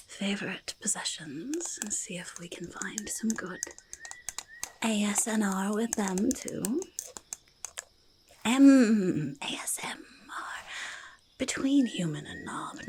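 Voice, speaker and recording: A woman voicing a quirky, eager little goblin in a soft-spoken ASMR roleplay, creaky and whispering, with halting, repetitive speech. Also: mid-range voice, slight background noise. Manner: halting, repetitive, third-person speech with drawn-out words. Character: quirky, eager, faintly menacing little goblin, friendly to humans. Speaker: ASMR performer in character.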